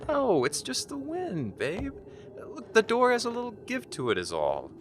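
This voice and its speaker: A man playing a calm, dismissive boyfriend in a horror audio drama, casual and slightly exasperated. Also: very high-pitched, moderate pace, faint music behind, heavily acted. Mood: reassuring. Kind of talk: naturalistic audio drama acting. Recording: clear storm ambience behind.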